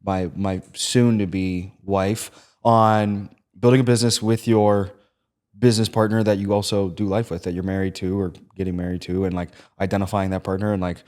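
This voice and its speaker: A young man with a fairly low voice hosts a casual podcast chat. He talks in an animated, rambling way, piling on fillers as he goes. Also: normal speed. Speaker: podcast host.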